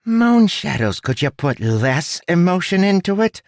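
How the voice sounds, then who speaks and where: A man's voice: mid-range voice, big pitch swings, a little slow, no room sound, studio quality, voice pushed up. A man voicing a small, chatty male rat who is bossy, fussy and theatrical, in a storybook narration. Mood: exasperated. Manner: fussy, dramatic, exasperated.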